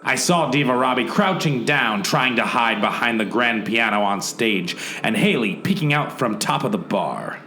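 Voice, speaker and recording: A man's voice: mid-range voice, expressive, moderate pace, hardly any pauses, bright, forceful tone, a little hiss or hum. A man playing a hard-boiled hero who narrates a noir parody audio drama, mock-serious, wry and dramatic. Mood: urgent narrating. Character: hard-boiled male detective hero, earnestly melodramatic. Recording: roomy echo, music underneath.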